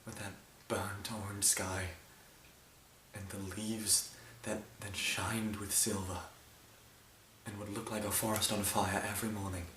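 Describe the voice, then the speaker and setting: A man playing a brooding, grieving and angry alien time-traveller who lost his planet, in a halting improvised fan-film monologue. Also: fairly low pitch, level tone, fairly bright tone. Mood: wistful, grieving. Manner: halting, brooding, building to anger. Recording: roomy sound with faint hiss.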